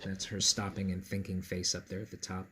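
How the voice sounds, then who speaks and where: A man reading a picture book aloud to children in a playful, expressive way, with chatty asides to his young listeners. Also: low-pitched, fairly quick, breathy edge.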